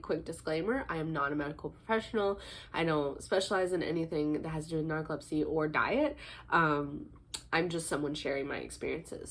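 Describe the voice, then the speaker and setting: A woman's voice: low voice, slight background noise. A young woman with a stuffy head cold, chatting casually to camera with frequent ums and small laughs. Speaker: vlogger, teacher and fitness instructor.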